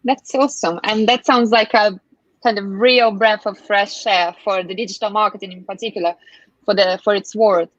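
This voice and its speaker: A woman's voice: medium pitch, steady tone, normal speed, no room sound. A woman hosting a live online interview, effusive in her wording and a little hesitant in phrasing. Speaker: host, interviewer. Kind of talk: live online interview, scripted introduction and questions. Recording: dull, lo-fi, dry sound.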